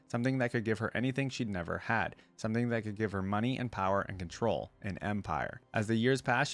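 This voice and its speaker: Guy doing a reaction vid, kinda deep voice, reads lore then thinks out loud, real dry sound. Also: no echo. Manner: conversational, musing aloud. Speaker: reaction video host. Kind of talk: reading lore aloud with casual commentary.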